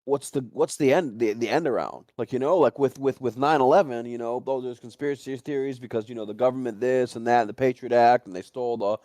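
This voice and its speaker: A man's voice: mid-range voice, fast talker, few pauses, a little mellow, no echo. A man co-hosting a livestream, chatting casually about conspiracies in a rambling way and swearing often. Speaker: livestream co-host.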